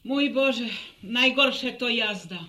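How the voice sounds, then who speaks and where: A woman's voice: on the high side, slow, background noise. A woman playing a worried, grumbling village mother who runs the household in a radio drama. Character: fretful, scolding village mother running the household. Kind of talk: Polish rural radio drama acting.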